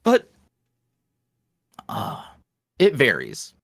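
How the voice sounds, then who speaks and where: A man's voice: medium pitch, wildly swooping pitch, drawn-out words, fairly bright tone, dry recording. Young guy rambling on a podcast, lots of likes and ums, dry close sound. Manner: rambling, filler-heavy, self-reflective. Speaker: podcast guest, roleplay creator. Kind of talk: casual interview chat.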